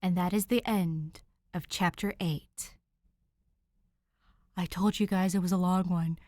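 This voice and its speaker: A young adult woman reading fan fiction as an amateur narrator, moving between expressive storytelling and casual chat. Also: lowish voice, a little slow, no room sound, clean studio sound.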